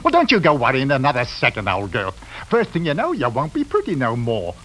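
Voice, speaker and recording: An actor playing a genial working-class husband of middle age in a radio drama, mild, folksy and coaxing. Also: male voice, medium pitch, wildly swooping pitch, a little fast, a bit bright. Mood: soothing, coaxing. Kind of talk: 1940s radio acting. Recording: slightly hissy old radio recording.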